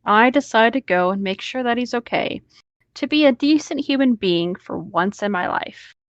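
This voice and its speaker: A woman's voice: on the high side, expressive, normal speed, no echo, lo-fi sound. A woman host reading an online story aloud, breaking off now and then into casual asides.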